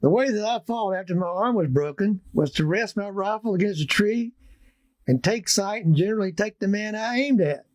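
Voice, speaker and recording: A man's voice: high voice, lively intonation, normal speed, a little mellow, no room sound. A man reading a scripted first-hand historical account aloud.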